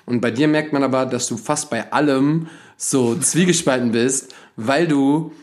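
Host: man, mid pitch, chatty, jokey, colloquial. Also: unhurried. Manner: upbeat, joking, colloquial. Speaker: podcast host. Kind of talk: casual podcast chat.

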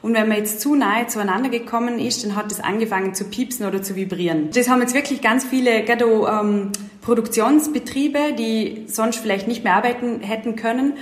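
A woman's voice: on the high side, a little fast. A young adult woman speaking as an event and project manager in an interview, explaining things eagerly and leaning on filler words. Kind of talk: casual interview talk. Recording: roomy echo.

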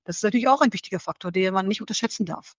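Measured woman guest, dry dull sound. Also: medium pitch, no echo. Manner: measured, searching for words, frequent fillers. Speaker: interview guest. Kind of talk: thoughtful conversational interview answers. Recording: slightly dull dry recording.

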